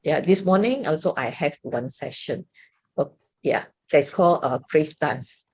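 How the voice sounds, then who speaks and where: A woman's voice: low voice, lively intonation, measured pace, breathy edge. Hesitant older Malaysian woman on a call. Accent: Malaysian English. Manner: hesitant, many fillers and restarts. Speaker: praise dance teacher. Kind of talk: casual video call chat. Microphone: video call.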